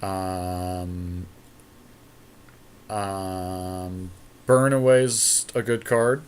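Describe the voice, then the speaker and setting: A man's voice: deep voice, fairly even intonation, extremely slow, a little hiss or hum. A man co-hosting a podcast, chatting casually and thinking aloud as he weighs options during a card game draft. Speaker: podcast co-host.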